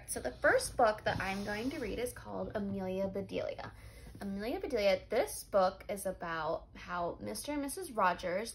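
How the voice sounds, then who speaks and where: A woman teacher reading a story aloud to children in simple phrases, pausing to ask them questions and confirm answers. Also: mid-range voice, a bit bright. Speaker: life skills teacher.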